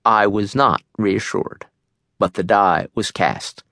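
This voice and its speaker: A man reads a nonfiction memoir as an audiobook narrator, measured and articulate, in a studio. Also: lowish voice, a bit bright, no room sound.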